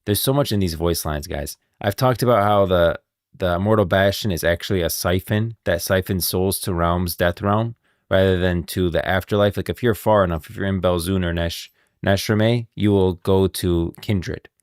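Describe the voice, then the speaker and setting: A man talks as a game lore streamer. His voice is deep and recorded close and dry, and he sounds casual and insistent, reasoning things out aloud. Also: moderate pace, dry recording. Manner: informal, thinking aloud, insistent. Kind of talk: casual livestream commentary.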